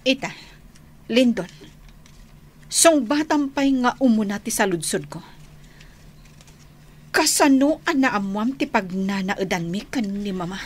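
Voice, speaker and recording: A woman's voice: medium pitch, expressive, measured pace, long pauses. A woman playing a guarded young daughter questioning an unwelcome suitor in an Ilocano radio drama, with an Ilocano accent.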